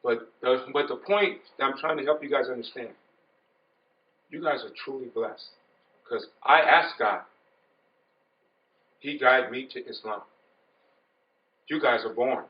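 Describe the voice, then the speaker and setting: A man's voice: mid-range voice, a little slow, pauses often. A middle-aged man giving an earnest, repetitive informal talk to an audience of young people, growing emphatic. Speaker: speaker addressing young Muslims. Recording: echoey room, distant mic, dull sound.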